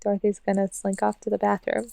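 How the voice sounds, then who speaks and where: A woman's voice: medium pitch, monotone, fast, gravelly, mellow, no echo, studio quality. A woman chatting informally as a player in a tabletop roleplaying game, describing what a character does. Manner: informal, conversational. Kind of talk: casual improvised roleplay chat.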